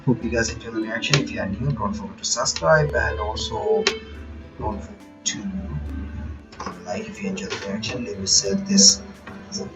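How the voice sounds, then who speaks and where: A man's voice: medium pitch, lively intonation, moderate pace. A sleepy man hosting a reaction video, rambling casually and reading game text aloud. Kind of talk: casual commentary while reading a visual novel aloud. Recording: dull lo-fi recording with game music.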